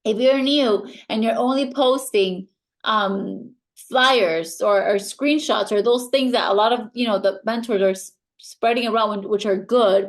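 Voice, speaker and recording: A woman with a foreign accent giving a motivational training talk as a team mentor, emphatic and insistent. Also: medium pitch.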